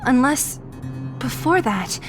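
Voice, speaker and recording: Feisty, high-pitched, animated young fighter. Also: female voice, slow, full character voice. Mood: incredulous. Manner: brash, casual, expressive. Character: feisty, blunt young female street fighter from the slums. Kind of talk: video game voice acting. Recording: music behind the voice.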